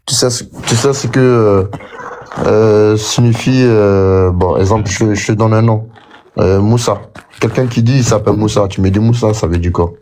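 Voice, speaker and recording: Hesitant low-voiced male caller. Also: fairly even intonation, moderate pace, a little mellow, slight background noise. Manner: hesitant, defensive. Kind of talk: live debate with host.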